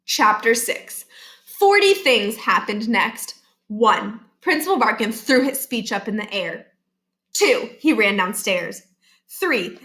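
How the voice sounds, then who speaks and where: A woman reading a children's novel aloud as an expressive storybook narrator. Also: a little high. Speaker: storybook reader.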